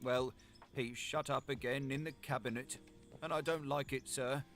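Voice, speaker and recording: A man voicing a frightened, deferential butler in an audiobook, hoarse and anxious. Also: mid-range voice, steady tone, moderate pace, over music, pitched above the performer's own voice, close to an everyday voice. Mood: fearful. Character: frightened elderly male butler, deferential and dread-filled. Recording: heavy vehicle noise over voice.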